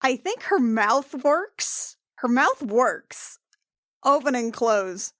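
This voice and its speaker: A woman narrates her character in a tabletop roleplaying podcast. Her voice sits a little high and sounds close and dry, with no room around it. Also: very animated, slow, fairly bright tone, no room sound. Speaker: player on actual-play podcast.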